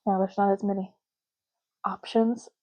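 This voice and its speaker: Soft-spoken woman, mellow ASMR roleplay. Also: mid-range voice, little pitch movement, a little slow. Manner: casual, chatty, explanatory. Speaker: ASMR creator playing a clerk making ID cards.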